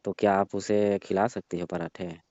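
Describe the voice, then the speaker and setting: A man's voice: low-pitched, flat delivery, moderate pace, a little mellow, no echo, lightly acted. A man playing a sly young friend of the family who coaxes and insinuates in a casual phone conversation. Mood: coaxing. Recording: muffled lo-fi phone call recording.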